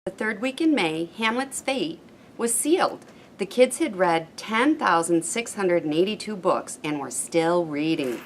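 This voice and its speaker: A woman's voice: on the low side, animated, unhurried, slight background noise, poor audio. A woman on a school's staff reading a children's story aloud.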